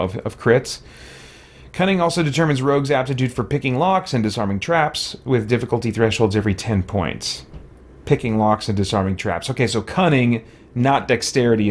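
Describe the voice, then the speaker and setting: A man gives casual video game commentary in an average-pitched voice. He muses aloud and reads out on-screen text. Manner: musing, thinking aloud. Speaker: gaming let's-play host. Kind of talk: casual gameplay commentary, reading stats aloud.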